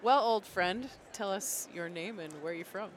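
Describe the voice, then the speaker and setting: Woman podcast host, encouraging interviewer with steady backchannel murmurs, mid pitch. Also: normal speed, slight background noise. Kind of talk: short conference-floor interviews.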